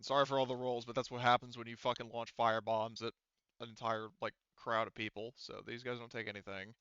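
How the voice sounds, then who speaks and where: A man's voice: mid-range voice, little pitch movement, a little fast, fairly bright tone, clear, resonant tone. A man running a tabletop roleplaying game as game master, talking through dice rules in a casual, profane way over slightly dull audio.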